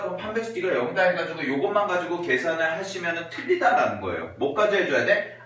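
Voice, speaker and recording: A man teaching a math lesson to a class, voice going hoarse, often checking in with the students. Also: high-pitched, pushed and bright. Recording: echoey classroom, mic far off, noisy.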